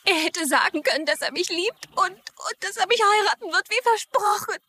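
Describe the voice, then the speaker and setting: High-voiced, pleading jilted fiancée. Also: female voice, moderate pace, pushed and bright, slight background noise. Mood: hurt, insistent. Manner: pleading, earnest. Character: hurt, earnest jilted fiancée pleading with her man. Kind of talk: dubbed TV drama dialogue in German.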